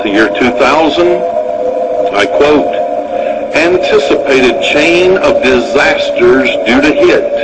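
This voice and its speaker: Emphatic American man over loud music. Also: medium pitch, low-quality recording. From United States. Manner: emphatic, deliberate, with pointed pauses. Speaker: radio speaker. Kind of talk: dramatic conspiracy monologue for radio.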